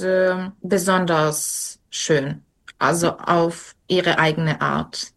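A young woman, not a native speaker, answering interview questions slowly with many fillers and restarts. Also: lowish voice, fairly bright tone. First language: not German. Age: young adult.

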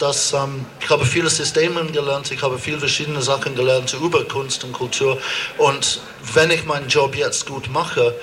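A middle-aged man from England, a native English speaker with an English accent, giving a public speech haltingly, searching for words. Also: mid-range voice, a bit bright. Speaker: opera director as guest speaker. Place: outdoors at a street demonstration. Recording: clearly noisy outdoor rally recording.

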